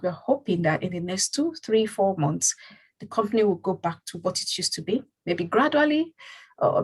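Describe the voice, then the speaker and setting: A woman's voice: lowish voice, slightly rough, low-quality recording. A woman hosting a community talk show, speaking casually and rambling with many fillers and restarts. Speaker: host.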